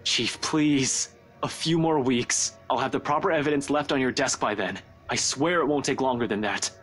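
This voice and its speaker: A man voicing a young, determined, hot-headed police detective in a visual novel, insistent and quick to argue. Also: mid-range voice, fairly bright tone, soft background music, close to an everyday voice. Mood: pleading. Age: young adult. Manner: insistent, argumentative, swearing.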